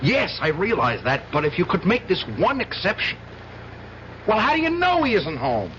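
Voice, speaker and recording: An actor playing an agitated, desperate man, halting and stammering with urgency, in 1970s radio drama style. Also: high voice, huge pitch swings. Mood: frantic, pleading. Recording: dull, noisy old radio broadcast.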